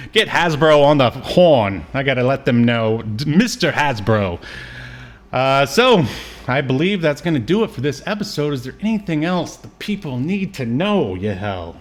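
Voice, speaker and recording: A man's voice: medium pitch, very animated, moderate pace. Rambling man in casual podcast chat. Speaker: podcast co-host. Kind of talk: casual chat about cartoons.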